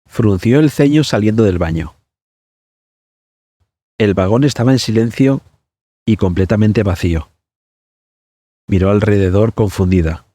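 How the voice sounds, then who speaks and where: A man hosting a podcast, narrating a scripted story in a measured, suspenseful manner. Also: fairly low pitch, dark tone, no echo, clean studio sound.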